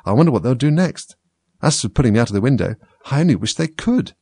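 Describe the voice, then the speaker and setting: A man's voice: medium pitch, sing-song, fast, darkish tone, slightly rough, no room sound, voice pushed up. A man voicing a curious, chatty little girl who muses and argues with herself in a children's storybook. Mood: wondering. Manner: musing, talking to herself.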